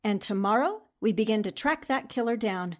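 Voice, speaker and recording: An actress playing a confident, skeptical woman newspaper reporter in a 1940s-style radio drama, brisk and matter-of-fact. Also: a little high, animated, lightly acted. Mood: determined.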